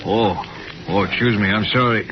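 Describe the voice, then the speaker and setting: An actor playing a wary, gossipy desk clerk with slangy, offhand talk in a 1950s radio drama. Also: male voice, on the low side, normal speed, noisy background, lightly acted. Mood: obliging, gossipy. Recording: muffled vintage radio recording.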